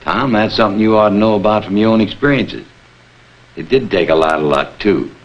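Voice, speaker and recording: A man's voice: lowish voice, a little fast. A middle-aged man, a naval officer, answering as a guest in a formal 1950s television interview. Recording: dull 1950s TV soundtrack.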